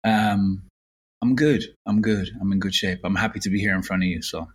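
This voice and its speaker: A man in his fifties with a Limerick Irish accent, recalling his past as an interview guest in an unhurried, reflective way. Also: sits low, fairly even intonation, husky. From Ireland. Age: 50s. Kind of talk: casual interview.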